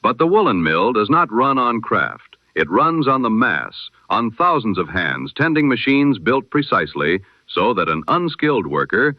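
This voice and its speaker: A man presenting a scripted news bulletin in 1920s radio style, with measured, solemn, oratorical phrasing and a vintage radio filter. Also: mid-range voice, sing-song, no room sound. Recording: dull, band-limited old radio sound.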